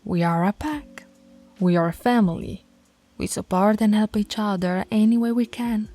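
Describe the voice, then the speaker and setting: A woman voicing a dominant, stern alpha werewolf pack leader in an ASMR roleplay, scolding and taunting in a commanding, deliberate way. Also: medium pitch, warm tone, soft background music, lightly acted. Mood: firm, admonishing.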